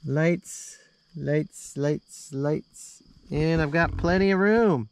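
A man's voice: fairly high pitch, drawn-out words, a little hiss or hum. A man in his thirties chatting casually to camera in a laid-back, easygoing way from inside a small tent outdoors. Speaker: camping vlogger. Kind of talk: casual vlog chat to camera.

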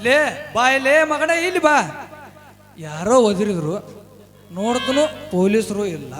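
A man's voice: high-pitched, sing-song, measured pace, a bit bright, soft background music, full character voice. A man playing a bossy, mocking jail policeman with a North Karnataka Kannada accent. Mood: mocking gruff. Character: grumbling comic jail policeman. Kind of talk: comic stage drama acting.

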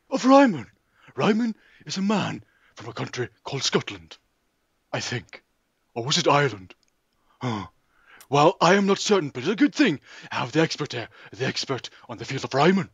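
Gravelly pompous documentary presenter, a man putting it on. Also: mid-range voice, fairly bright tone, no echo, lo-fi sound, heavily acted. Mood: mock-solemn. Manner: grandiose, hesitant, deliberately silly. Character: pompous, mock-solemn male documentary presenter. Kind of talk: mock documentary narration, improvised.

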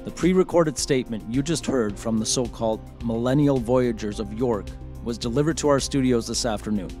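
A man's voice: medium pitch, brisk, a little mellow, faint music behind, lightly acted. An actor playing a composed male radio news host, presenting on air in an audio drama. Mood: composed, serious.

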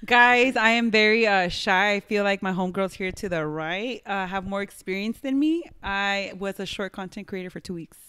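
Giggly East LA woman co-host, smooth voice. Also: medium pitch, moderate pace, few pauses, sharp tone. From East Los Angeles. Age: 20s. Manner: bubbly and giggly, mixing in Spanish phrases. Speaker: podcast co-host. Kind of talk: casual chat. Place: living room, on a couch. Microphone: handheld mic.

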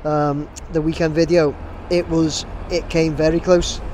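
A man's voice: fairly high pitch, flat delivery, a little slow, noisy background. Rambling man vlogging on the street. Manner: rambling, many fillers and restarts. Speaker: drone videographer. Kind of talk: casual vlog to camera. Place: outdoors on a city street. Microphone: camera held at arm's length.

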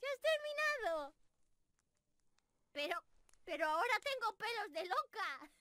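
A woman's voice: very high-pitched, sing-song, measured pace, bright, forceful tone, dry recording, clean studio sound, full character voice. A woman voicing a cute, cheeky young squirrel girl in an ASMR roleplay, playful and pleading as she talks straight to the listener. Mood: excited, playful. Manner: playful, genki, pleading and apologetic.

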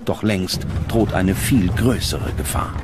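A man narrating a documentary script in a grave, measured, dramatic way. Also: sits low, animated, noisy background. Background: prominent background music.

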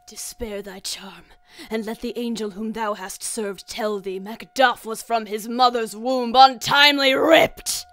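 A woman playing a fierce, vengeful warrior thane in a Shakespearean radio drama, proclaiming each line deliberately. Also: a little high, fairly even intonation, a bit bright.

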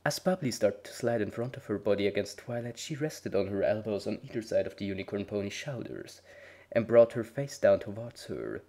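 Male narrator, lower voice, steady dramatic storytelling. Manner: measured storytelling with voiced dialogue. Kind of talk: dramatic reading of fan fiction.

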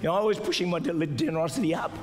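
An older man preaching a sermon as pastor, exhorting the congregation, sometimes shouting and mimicking doubters in a higher voice. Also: very fast. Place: large church auditorium with stage. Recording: live church hall reverb with music. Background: soft music and congregation responses.

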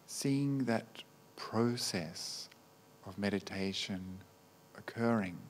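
A man leads a guided meditation in a fairly low voice. He speaks very slowly and gently, setting out short phrases one at a time. Long, unhurried pauses separate his instructions. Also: slight background noise. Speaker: meditation teacher, Buddhist monk.

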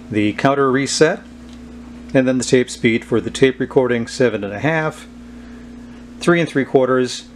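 A man gives a casual hands-on demonstration as host of a homemade video. His voice sits at a medium pitch and he explains things informally, without hurry. Some noise runs behind him. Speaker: host of a vintage electronics video. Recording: clear steady background noise.

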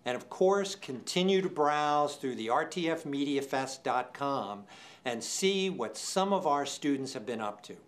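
A man in his sixties speaking as a presenter in a formal, measured, scripted style, enunciating deliberately. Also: medium pitch, lively intonation. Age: 60s. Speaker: department chair presenting awards. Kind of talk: scripted awards show presentation to camera. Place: indoor studio set.

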